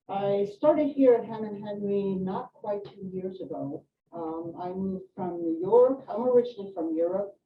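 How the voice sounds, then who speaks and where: Middle-aged woman, echoey room. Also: mid-range voice. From Sweden and France. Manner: chatty, informal, many fillers and asides. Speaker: nurse educator. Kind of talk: live presentation to an audience. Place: hospital meeting room. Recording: echoey room, distant mic, dull sound, faint noise.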